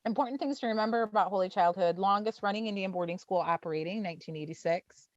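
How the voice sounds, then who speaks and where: Gentle forty-year-old woman on a video call. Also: mid-range voice, quick pace, dry recording. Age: 40s. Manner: informal, gentle, with many fillers. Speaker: town hall presenter. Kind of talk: online town hall presentation. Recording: dull, dry video-call audio.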